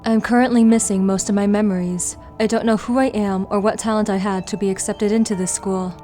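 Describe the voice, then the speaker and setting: A woman plays a calm, analytical girl detective in an anime fan dub. Her delivery is measured and formal, at a middle pitch, each line placed deliberately, with music underneath. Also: natural voice. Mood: calm, probing.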